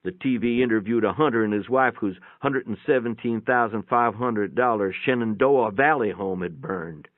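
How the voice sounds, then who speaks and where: A man's voice: fairly low pitch, normal speed, few pauses, no echo, narrow, tinny audio. A man giving a folksy, plainspoken dramatic reading of a first-person story, with a radio-like sound. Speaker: narrator, reader. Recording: band-limited, radio-like sound.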